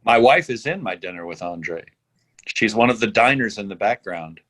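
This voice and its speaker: A man's voice: on the low side, animated, moderate pace, a bit bright. A man talking casually and giving feedback as a reader in a script workshop on a video call.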